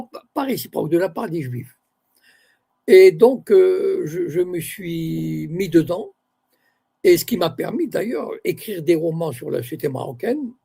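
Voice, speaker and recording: An older man from Morocco, an author and academic, speaking as an interview guest in a measured way, often pausing to search for words and correcting himself. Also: fairly high pitch, creaky, mellow, slightly rough. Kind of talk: interview guest, reflective talk.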